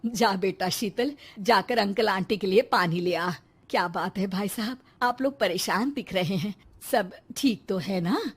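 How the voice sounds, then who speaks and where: A woman's voice: mid-range voice, a little slow, husky. Woman voicing a thrifty cartoon mother-in-law. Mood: welcoming. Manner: scolding, motherly advice. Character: thrifty middle-aged mother-in-law, firm and homely. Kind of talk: cartoon story dubbing. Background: background music.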